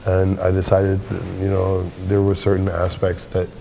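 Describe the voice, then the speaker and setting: A man in his twenties giving deliberate, philosophical answers as an interview guest. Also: deep voice, completely flat delivery, gravelly, warm tone, rough recording. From born in Jamaica. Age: 20s. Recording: faint background noise.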